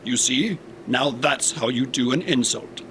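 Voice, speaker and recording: A man's voice: medium pitch, measured pace, close to an everyday voice. A man playing a haughty robot who scorns humans in a sci-fi comedy audio drama, speaking with pompous, formal diction over a noisy background. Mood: scornful. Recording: clear background noise and ambience.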